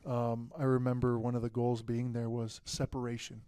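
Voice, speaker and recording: A young man in a casual podcast conversation, with a mellow, dark voice. He tells his stories in a relaxed way, full of fillers. The recording is close and dry, with no room sound. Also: medium pitch, moderate pace, clear, resonant tone, no room sound. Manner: relaxed storytelling, many fillers. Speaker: guest, future pastor.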